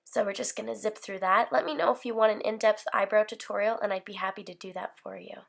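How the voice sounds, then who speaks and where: A woman's voice: mid-range voice, steady tone, quick pace, vocal fry, fairly bright tone. A woman in her twenties with a slight cold, talking through a makeup tutorial in a casual, upbeat, rambling way. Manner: chatty, upbeat, rambling with fillers. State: hoarse from a cold. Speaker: beauty vlogger. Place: bedroom filming setup.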